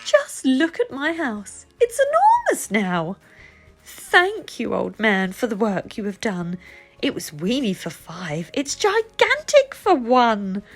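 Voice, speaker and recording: A woman voicing a fretful, grumbling little old lady from a children's storybook, pleading and wailing in a drawn-out, dramatic way. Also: on the high side, wildly swooping pitch, husky, soft background music, voice pushed up, full character voice. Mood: delighted.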